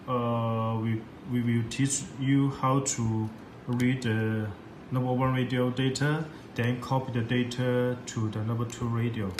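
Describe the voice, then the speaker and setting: A man demonstrating a product in a step-by-step tutorial, speaking halting, repetitive non-native English. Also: mid-range voice. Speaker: product demonstrator for a radio seller. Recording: echoey room, loud background noise.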